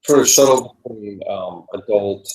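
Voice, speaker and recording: Husky, mellow-toned interviewer, pitch rising and falling a lot, halting questions with ums. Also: low-quality recording.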